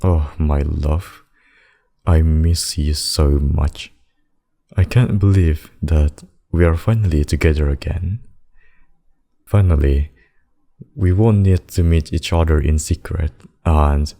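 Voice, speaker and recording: A man voicing a very deep, unhurried demon king. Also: mellow, heavily acted. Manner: slow, deliberate, intimate addresses with pet names. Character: possessive demon king, menacing abductor turned tender secret lover. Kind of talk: scripted boyfriend ASMR roleplay.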